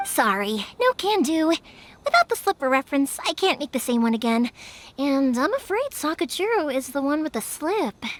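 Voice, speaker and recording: A woman's voice: high voice, moderate pace. A woman voicing an upbeat, talkative, perceptive young fireworks maker in a video game. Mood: playful, kind. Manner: lively, encouraging, talkative. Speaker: video game character actor. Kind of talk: animated anime-style game acting. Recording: music behind the voice.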